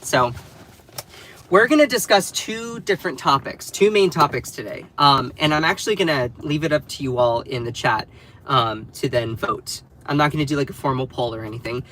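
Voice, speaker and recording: A man with a slightly nasal, stuffed-up voice from a cold hosts a casual political livestream, talking straight to his chat. His pitch sits in an everyday middle range. A faint hiss runs under the recording. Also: moderate pace, bright, forceful tone. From North Carolina. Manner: chatty, addresses the live chat directly. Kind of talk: casual live commentary.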